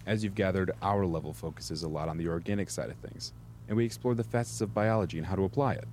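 An actor playing a composed senior research scientist in an audio drama, speaking in a measured, formal, polite manner. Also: male voice, sits low, a little mellow, slight background noise, close to an everyday voice. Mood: proud, explanatory. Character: composed senior male research scientist, smoothly justifying dubious experiments.

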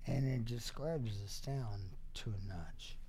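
Gravelly, slow-talking man in casual chat. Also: mid-range voice. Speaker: podcast guest. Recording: noisy, drifting off the microphone.

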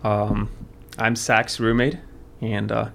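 A man's voice: fairly low pitch, flat delivery, slow pace, vocal fry, fairly bright tone. A young man from Norway with a Norwegian accent, a guest on a casual podcast chat. He talks hesitantly, with many fillers and restarts. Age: young adult.

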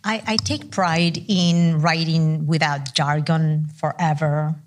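A woman whose first language is not English answers on an academic panel, her voice sitting a little low. She talks candidly and with animation, poking fun at herself as she goes. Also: fairly even intonation, takes it slowly. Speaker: panelist scholar.

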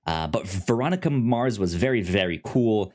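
A man's voice: fairly low pitch, animated, normal speed, a little mellow. A man hosting a podcast in a casual, chatty style, often stammering over sounds and words. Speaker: podcast host.

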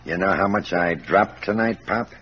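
Smug, deep-voiced radio gangster. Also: male voice, normal speed, slightly rough. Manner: taunting, slangy tough talk. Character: smug, menacing gangster fight racketeer. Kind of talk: 1940s radio crime acting. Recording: muffled old radio recording, faint noise.

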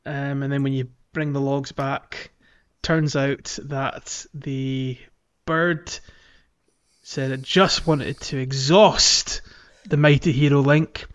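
A man's voice: mid-range voice, flat delivery, takes it slowly, clear, resonant tone. A man co-hosting a podcast, chatting casually about a video game in a hesitant, chuckly, conversational way. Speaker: podcast co-host.

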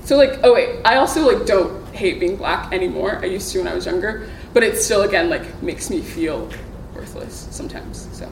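A young woman shares her experiences in a group, speaking hesitantly and leaning on fillers. Her voice sits at an ordinary pitch and sounds somewhat far from the microphone. The room is echoey, with faint ambience behind her. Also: animated, moderate pace, creaky, slight background noise. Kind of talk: personal sharing in a group discussion. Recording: echoey room, voice a bit distant from the mic.

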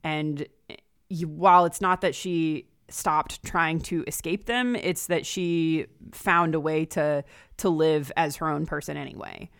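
A woman's voice: low-pitched, a little slow, vocal fry, clean tone, no echo, studio quality. A woman guest on a podcast, talking casually and analytically through a book. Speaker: guest co-host.

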